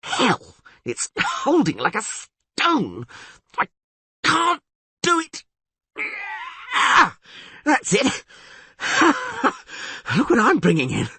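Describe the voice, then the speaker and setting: A man voicing an excitable, boastful young male bard who exclaims loudly and breathlessly in an audiobook. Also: very high-pitched, extremely slow, pauses often, slightly rough, no echo, voice pushed up, full character voice. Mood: excited. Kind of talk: audiobook character voice.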